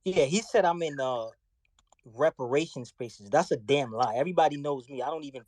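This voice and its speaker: Man, new york accent, blunt, indignant, dry close sound, mid pitch. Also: brisk, a little mellow, no room sound. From New York City. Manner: blunt, indignant, repeats himself. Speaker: guest speaker. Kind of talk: heated online audio debate.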